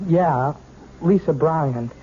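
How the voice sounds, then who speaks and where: Radio play youth: high voice, awkward, hesitant, polite, on a tinny old broadcast recording. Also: male voice, very slow, warm tone, slight background noise. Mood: awkward, bashful. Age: young adult. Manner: hesitant, bashful. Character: awkward, polite young neighbourhood man with new car. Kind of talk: 1970s radio drama acting.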